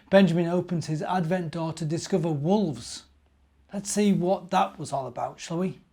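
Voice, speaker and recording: A man with a fairly high voice presents story time for young children. He speaks simply and expressively, as a minister would to little ones, inviting them along with gentle questions.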